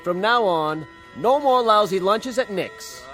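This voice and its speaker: A boastful office bookkeeper in a radio drama. His voice is high for a man and full of self-importance. Music sits faintly behind it. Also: animated, a little slow, fairly bright tone. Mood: jubilant, boastful. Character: exultant, self-important newly promoted male bookkeeper.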